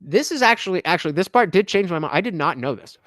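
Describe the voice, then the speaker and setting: A man hosting a live stream, reacting to a debate clip with quick, profane asides. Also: high voice, expressive, fairly bright tone, no echo.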